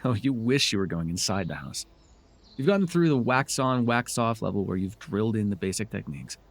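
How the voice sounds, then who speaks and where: A man's voice: lowish voice, lively intonation, brisk. A man playing a smug, teasing telepath supervillain who mentors a hero, in a wry, theatrical yet conversational ASMR roleplay. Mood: wry, bossy.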